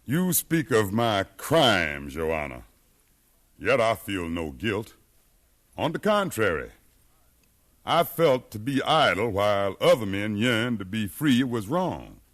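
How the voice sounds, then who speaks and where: A man's voice: fairly low pitch, sing-song, takes it slowly, fairly bright tone, heavily acted. An actor playing a proud, defiant freed slave facing his sentence, with solemn, impassioned oratory in a 1950s radio drama. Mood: grave defiance. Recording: 1950s radio recording, music behind.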